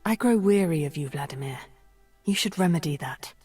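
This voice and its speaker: A cold, commanding sorceress from a fantasy video game. Her voice is low, mellow and slightly breathy. She speaks deliberately, with haughty menace, over music. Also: expressive. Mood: weary disdain. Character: cold, scheming, commanding sorceress leader of a secret cabal. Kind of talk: video game character voice lines.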